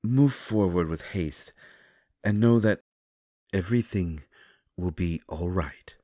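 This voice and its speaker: A man playing a gentle, solemn otherworldly seer in an audio roleplay, speaking in measured, formal phrases with sorrowful reassurance. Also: sits low, dry recording, studio quality. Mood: apologetic, soothing. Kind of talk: scripted audio roleplay, comforting.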